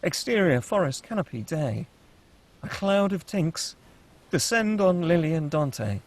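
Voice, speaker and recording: A man's voice: on the high side, sing-song, measured pace. A man reading scripted screenplay action and scene headings as the narrator of a table read. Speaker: narrator reading stage directions.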